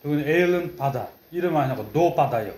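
A man with an Uzbek accent speaks in simple, halting phrases as a non-native speaker. His voice is mellow and dark. The sound bounces in an echoey restaurant, with dishes clinking faintly behind him. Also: fairly high pitch, animated, a little hiss or hum. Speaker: friend at the table. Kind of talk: casual chat over a meal.

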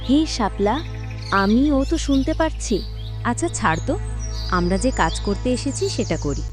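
A woman voicing a practical, cautious young village girl in a cartoon, in an expressive way. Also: on the high side, normal speed, music in the background. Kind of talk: cartoon dialogue acting.

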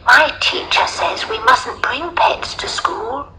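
Storyteller doing a little schoolgirl, high and plaintive, timid but plucky, bright sound, storybook style. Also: slow, faint music behind, exaggerated voice, rough recording. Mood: earnest, plucky. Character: small schoolgirl, timid yet plucky and matter-of-fact.

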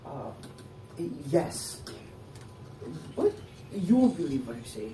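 A man's voice: on the high side, big pitch swings, slow pace, mellow. A young man acting in a stage play as a nervous, defensive ex-convict, with a hesitant, theatrical delivery. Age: young adult. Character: nervous, defensive ex-convict pleading his innocence. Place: indoor theatre set, far camera. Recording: echoey stage, distant mic, faint noise.

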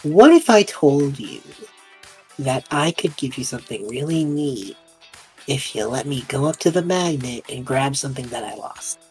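Woman playing a low-voiced, wry male adventurer. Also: expressive, moderate pace, darkish tone, soft background music. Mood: coaxing. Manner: hesitant, wry bargaining. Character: Treff, harried male adventurer, persuasive bluffer.

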